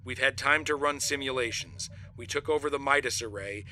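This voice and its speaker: Man as a sharp, forceful starship captain. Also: mid-range voice, fairly quick, slight background noise, poor audio. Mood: businesslike. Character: calm, businesslike male starship captain. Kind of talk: science fiction audio drama acting.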